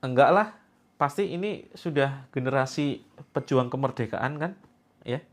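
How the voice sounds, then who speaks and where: A man's voice: medium pitch, measured pace. A man in his sixties, a preacher leading a healing session for a live gathering, talking in a playful, teasing way and joking freely with his audience. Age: 60s.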